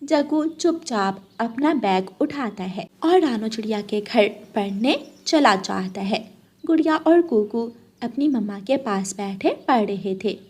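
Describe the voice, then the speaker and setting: A woman narrates a children's tale from a script. Her voice sits fairly high in pitch. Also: expressive, unhurried, a little mellow, breathy edge.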